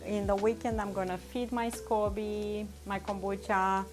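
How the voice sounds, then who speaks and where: Slow-talking chatty woman, music behind. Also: mid-range voice, level tone. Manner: chatty, laughs often, reads chat aloud. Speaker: vlogger cooking and chatting with live chat. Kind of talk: casual livestream chat. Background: soft background music.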